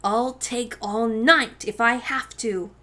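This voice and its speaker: A woman voicing a determined young girl activist in a children's storybook, loud, emphatic and defiant. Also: on the high side, steady tone, slow, voice pushed up.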